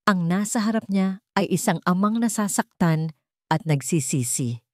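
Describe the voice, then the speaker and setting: Casting: female storyteller, lowish pitch, solemn dramatic scripted narration, dry studio-like sound. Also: expressive, normal speed, no echo.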